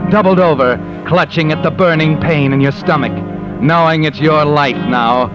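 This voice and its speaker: A man's voice: a little high, natural voice. An actor playing an ominous, knowing storyteller in an old radio mystery, narrating dramatically and sardonically to the guilty man. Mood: urgent. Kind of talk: 1950s radio mystery narration in second person. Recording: dull old radio recording with music.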